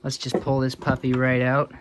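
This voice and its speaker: A man's voice: mid-range voice, completely flat delivery, normal speed, background noise. A man giving casual, hands-on repair commentary as a hobbyist, thinking aloud in an informal way. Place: indoors.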